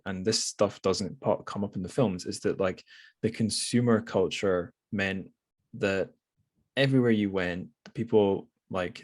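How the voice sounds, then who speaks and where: Very low-voiced Scottish man. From Scotland. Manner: rambling, hesitant with many restarts and fillers. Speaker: podcast host. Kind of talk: casual conversation.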